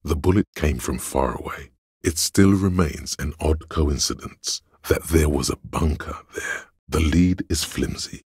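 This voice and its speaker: A man voicing a cool, sardonic inner voice of logic in a video game, with measured, deliberate, dry and analytical narration. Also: very deep voice, darkish tone, breathy edge, no room sound, full character voice.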